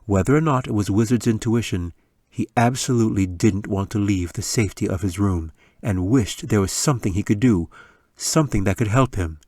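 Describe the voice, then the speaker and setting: A man's voice: fairly low pitch, a little fast, mellow, no echo, clean studio sound. A man reading a fantasy audiobook as a scripted story narration. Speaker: author reading own audiobook.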